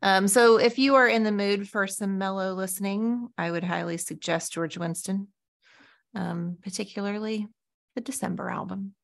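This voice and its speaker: A woman co-hosting a podcast, speaking in a soft, easygoing way. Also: medium pitch, steady tone, moderate pace, gravelly. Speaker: podcast co-host. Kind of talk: casual podcast chat.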